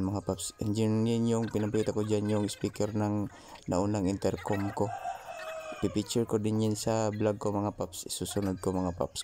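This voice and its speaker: A man's voice: lowish voice, dark tone. A man with a Filipino accent narrating a casual how-to vlog, talking informally to viewers as his buddies. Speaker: vlogger.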